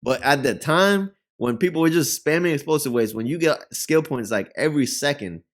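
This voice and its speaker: A man's voice: a little high, expressive, normal speed. A man with a Puerto Rican Spanish accent and Spanish as his first language, chatting loosely about a fighting game as a reaction host. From Puerto Rico. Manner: chatty, rambling, uses many fillers. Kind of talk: casual gaming commentary.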